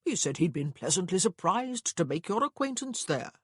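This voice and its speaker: A woman's voice: on the low side, very animated, normal speed, slightly rough, dry recording, clean studio sound. A woman voicing a fussy, anxious upper-class brother in an audiobook, with an earnest, blustering manner. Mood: nervous.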